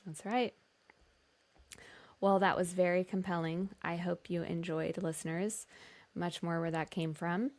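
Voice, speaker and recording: A woman's voice: lowish voice, fairly even intonation, unhurried, long pauses, a little mellow. A woman hosting a podcast, moving between introductions and casual chat. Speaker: podcast host.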